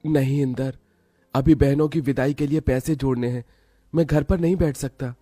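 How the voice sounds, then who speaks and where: A man's voice: mid-range voice, fairly even intonation, normal speed, warm tone, dry recording, soft background music, lightly acted. A man playing a protective, self-sacrificing poor young brother in a cartoon story, earnest and emotional. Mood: tender, resolute.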